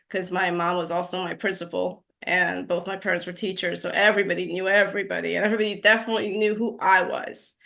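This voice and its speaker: A woman's voice: lowish voice, creaky, fairly bright tone. A woman in her forties from Guyana, a nurse practitioner telling her story as an interview guest, with many fillers and easy laughs. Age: 40s. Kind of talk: interview conversation.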